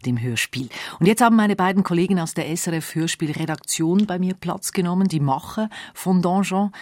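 A man's voice: fairly high pitch, no echo. A man hosts a radio magazine, presenting and putting questions to guests. The studio recording is dry. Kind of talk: presenting and interviewing.

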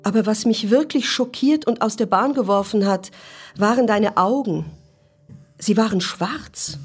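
A woman's voice: medium pitch, a little slow, breathy edge. Audio drama: female ex-wife, medium register, pensive recollection in letter form, music bed. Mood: pensive, shaken. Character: shaken, candid ex-wife recalling a hospital visit. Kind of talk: letter read as dramatic monologue.

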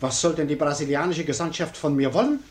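An actor playing a pompous, irritable and easily flustered small-office boss in the manner of 1950s German radio comedy, nagging and impatient. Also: male voice, on the high side, fast, lightly acted. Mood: agitated, incredulous. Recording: 1950s radio recording, noisy, clicks.

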